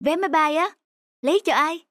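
A woman voicing a sensitive, expressive young woman, an emotional girlfriend in a cartoon. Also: high voice, fairly quick, no room sound. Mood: envious. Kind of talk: cartoon dubbing.

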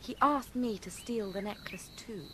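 A woman's voice: medium pitch, normal speed, breathy edge, natural voice. An actress playing an earnest, anxious young woman with an English accent in a radio play. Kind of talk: 1980s radio drama acting.

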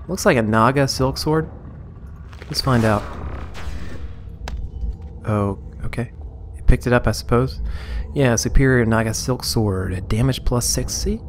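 A man casually commenting on his game as he plays, rambling and amused, a little hoarse. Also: mid-range voice, a little hiss or hum. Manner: rambling, self-amused, sorting inventory aloud. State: slightly hoarse. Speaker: let's play host.

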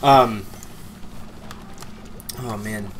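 A man's voice: lowish voice, steady tone, extremely slow, fairly bright tone, slight background noise. Chatty young man co-hosting a game stream. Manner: talkative, repeats words, filler-heavy. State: slightly nasal from a cold. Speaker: let's play co-host. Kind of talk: casual gameplay chat.

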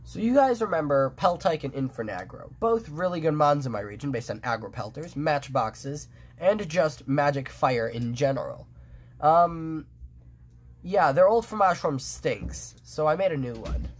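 A man's voice: a little high, lively intonation, moderate pace, husky. A man whose nose is blocked up, so every word comes out nasal and thick. He talks loosely and casually, restarting sentences and padding with fillers as he shows off his drawings. He sounds like a phone propped over a tabletop indoors. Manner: rambling, many restarts and fillers. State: stuffed nose, sniffling. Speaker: fan artist presenting his drawings. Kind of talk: casual show-and-tell commentary.

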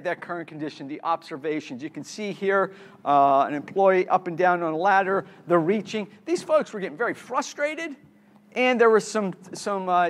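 A middle-aged man giving a conference keynote, often stumbling and repeating words as he restarts. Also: high-pitched. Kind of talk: conference talk with slides. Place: conference room.

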